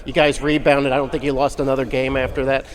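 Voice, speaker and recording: A man's voice: medium pitch, flat delivery, fast talker. A man co-hosting a podcast, asking teasing questions at a media day event. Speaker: podcast co-host. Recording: crowded event noise behind.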